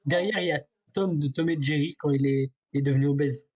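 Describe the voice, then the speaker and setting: A young adult chatting casually with friends in slangy, halting phrases. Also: medium pitch, a little mellow, muffled recording. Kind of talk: casual group chat. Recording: faint background noise with clicks.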